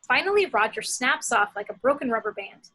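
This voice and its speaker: Lady reading stage directions from a script, highish bright voice, bit raspy. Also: expressive, a little fast, low-quality recording. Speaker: narrator reading action descriptions. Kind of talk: scripted narration of screenplay action.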